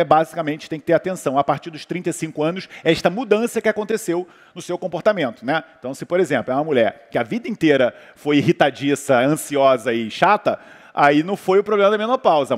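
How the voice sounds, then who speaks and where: A man from Brazil with a Brazilian Portuguese accent, a physician talking casually on a panel, rambling with lots of fillers and restarts. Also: fairly high pitch. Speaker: physician guest speaker. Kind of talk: conversational talk on a panel. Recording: hall reverb.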